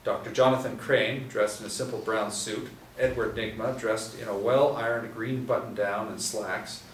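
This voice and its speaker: A man reading screenplay stage directions aloud at a table read, in a plain, even way. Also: on the low side, moderate pace. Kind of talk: script reading. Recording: roomy echo, distant mic, faint hiss.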